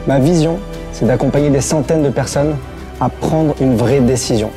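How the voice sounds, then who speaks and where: A man's voice: medium pitch, normal speed, music in the background. A man in his thirties delivering a promotional testimonial as an entrepreneur. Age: 30s.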